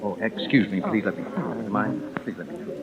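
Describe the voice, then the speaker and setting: A man's voice: on the low side, fairly quick, crowd noise, lightly acted. An actor playing a solicitous theatrical manager who hides his guilt, in hesitant 1950s radio drama style. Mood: solicitous, subdued. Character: smooth-talking, solicitous male theatrical manager hiding guilt. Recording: muffled, noisy vintage radio recording.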